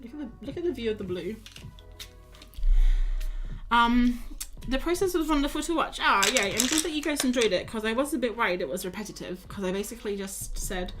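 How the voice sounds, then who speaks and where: A young woman, tired and losing her voice, chatting casually with viewers as she paints on a live stream. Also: on the high side. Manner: chatty, self-mocking, talks to viewers while drawing. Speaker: art streamer.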